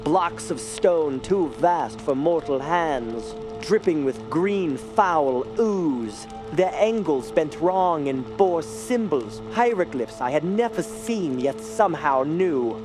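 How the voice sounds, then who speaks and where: Dream-haunted young male artist, earnest and unhurried, florid, for audio horror drama with a loud music bed. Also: fairly high pitch, animated. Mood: haunted awe. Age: young adult.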